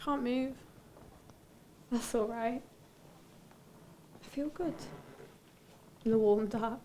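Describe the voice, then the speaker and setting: A woman playing a haunted, introspective character who recounts a dream in deliberate, broken phrases, as a poetic dramatic monologue. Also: fairly high pitch, mellow, a little hiss or hum. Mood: dreamy, calm.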